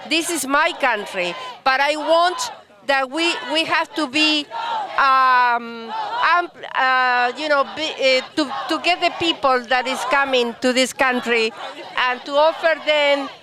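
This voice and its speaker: A woman from Argentina with an Argentine Spanish accent, speaking haltingly outdoors at a protest. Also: high voice, a crowd in the background, background noise. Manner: halting, searching for words.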